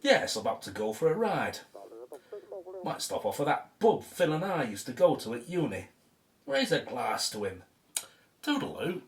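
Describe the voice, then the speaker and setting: A man's voice: fairly high pitch, huge pitch swings, moderate pace, creaky, long pauses, full character voice. An actor playing a chatty, genteel male archaeologist with a British accent in an audio drama. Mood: chatty, wistful.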